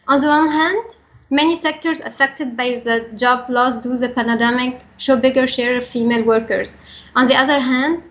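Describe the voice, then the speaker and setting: A woman's voice: sits high, a bit bright. A young woman with an Egyptian accent giving a prepared talk in an earnest, formal manner with careful phrasing. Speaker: regional coordinator for a young workers' organisation. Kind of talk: prepared talk, reading statement. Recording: dull, band-limited recording.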